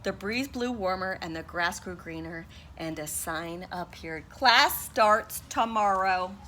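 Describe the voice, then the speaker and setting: A woman's voice: lowish voice, measured pace, a bit bright, a little hiss or hum. A woman teacher reading a picture book aloud to her class, sounding out and spelling words letter by letter.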